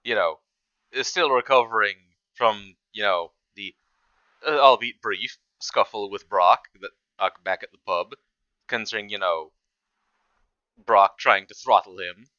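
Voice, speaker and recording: A man giving casual reaction commentary as a host, speaking haltingly and often repeating words and phrases. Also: lowish voice, bright, forceful tone, clean tone, no room sound, muffled recording.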